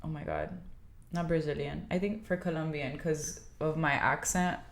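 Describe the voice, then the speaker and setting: A young woman from Miami chats with a laid-back, frank ease and breaks into giggles often. Her voice sits notably low for a woman. The talk is relaxed and unscripted, as a podcast guest answering questions. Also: moderate pace, creaky, a little hiss or hum. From Miami, Florida. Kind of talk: casual chat.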